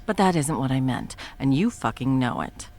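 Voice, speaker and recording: Woman playing a taunting, low-voiced supervillain. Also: lively intonation, moderate pace, a little mellow. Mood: menacing. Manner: taunting, profane, swings between whispers and outbursts. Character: menacing female supervillain, cruel, scheming, mocking. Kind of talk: audio roleplay acting.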